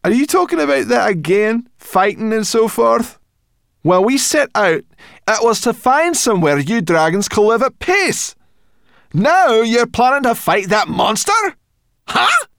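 A man doing a squeaky, cross brownie girl. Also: sing-song, measured pace, vocal fry, a bit bright, no room sound, clean studio sound, exaggerated voice. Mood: angry. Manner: squeaky, scolding and indignant.

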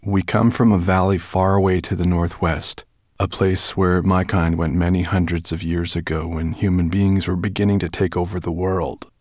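Man doing a calm, noble dragon. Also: low voice, dry recording, studio quality. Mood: earnest. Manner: measured, earnest.

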